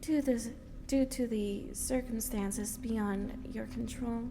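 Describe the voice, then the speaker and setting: A woman playing a calm, insinuating voice inside a man's mind in an audio drama. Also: on the high side, slow. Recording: long reverb, faint background noise.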